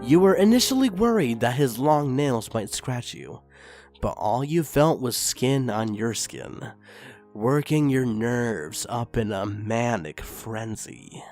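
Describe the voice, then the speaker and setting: A man reading fan fiction aloud in an expressive narrating style, rising in intensity on the dramatic passages. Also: mid-range voice, slow pace, faint music behind.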